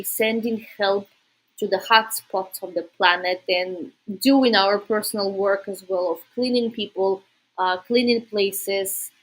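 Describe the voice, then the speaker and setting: A woman's voice: medium pitch, breathy edge, low-quality recording. A woman from Russia with a Russian accent, chatting informally and directly to viewers as a livestream host. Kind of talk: casual livestream chat.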